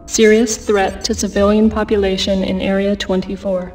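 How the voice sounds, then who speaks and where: An actress playing an impassive military briefing announcer in a video game, with clipped, impersonal delivery. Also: female voice, mid-range voice, flat delivery, normal speed, a little mellow, distant mic, faint music behind, close to an everyday voice, low-quality recording. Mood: impassive, official. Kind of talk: scripted game mission briefing.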